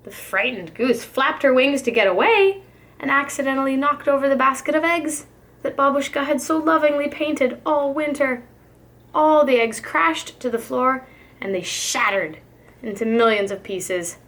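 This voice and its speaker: A woman preschool teacher reading a picture book to young children in an expressive, careful storytelling manner. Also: sits high, normal speed.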